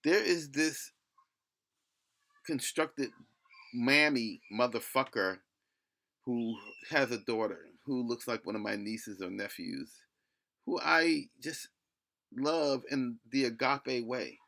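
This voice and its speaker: A man's voice: mid-range voice, slow, creaky, long pauses, pushed and bright. A middle-aged man with a lisp delivers a rambling, blunt opinion rant, cursing and breaking off mid-thought. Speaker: solo video blogger.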